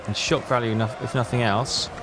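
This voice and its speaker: A man's voice: mid-range voice, fairly even intonation, fairly quick, over music. A man hosting a gaming video, giving casual commentary and thinking aloud in a chatty way as he plays.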